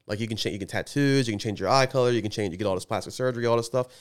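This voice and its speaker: A man's voice: fairly low pitch, very fast, clear, resonant tone. A young man co-hosting a podcast, rambling and teasing in casual banter and laughing often. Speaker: podcast co-host.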